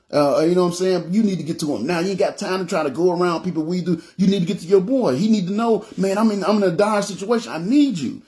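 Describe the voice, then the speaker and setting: Deep-voiced casual preaching man. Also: a little mellow. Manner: colloquial, repetitive, asks "you know what I'm saying?"; acts out quoted lines. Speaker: pastor. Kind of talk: casual livestream sermon.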